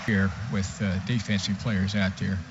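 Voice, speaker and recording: A man's voice: deep voice, little pitch movement, moderate pace. An American man working as a radio color commentator, giving brief, folksy, informal remarks on a football game. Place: stadium radio booth. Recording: dull, noisy stadium radio broadcast.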